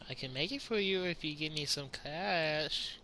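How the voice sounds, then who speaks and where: A woman's voice: low-pitched, normal speed, heavy background noise. A woman casually commentating on a game as she plays, with wry, muttered asides. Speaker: gamer playing and reacting to a game. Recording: game music behind the voice. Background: game music.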